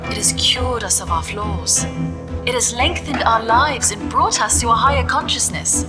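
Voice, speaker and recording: A woman's voice: a little high, animated, normal speed, close to an everyday voice. A woman acting in an audio drama as a solemn orator of a futuristic utopia, delivering a declamatory speech. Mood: lofty, solemn. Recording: loud music over the voice.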